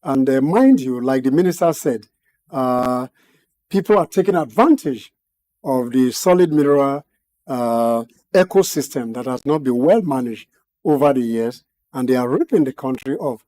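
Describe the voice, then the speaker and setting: A man gives measured expert commentary in a TV studio. His voice is mellow and dark, his phrasing formal and punctuated by frequent hesitations. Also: mid-range voice, expressive. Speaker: guest analyst.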